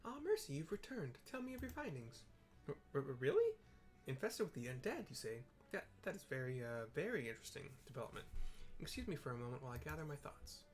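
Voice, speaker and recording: A man's voice: a little high, huge pitch swings, quick pace, soft background music. A man voicing a flustered, self-important female scholar in a video game, stammering and chatty. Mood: eager, flustered.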